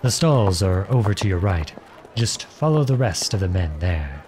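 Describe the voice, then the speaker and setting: Male actor as gallant outlawed prince, ASMR roleplay, low voice, playful, tender, courtly, outdoor ambience behind. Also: very animated, moderate pace, darkish tone, slight background noise. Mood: warm, attentive. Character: charming, gentle, teasing outlawed young prince. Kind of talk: ASMR roleplay acting addressed to the listener.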